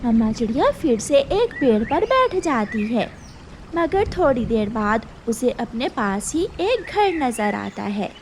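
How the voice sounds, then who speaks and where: High-pitched woman narrating a children's story. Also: big pitch swings, takes it slowly, noisy background.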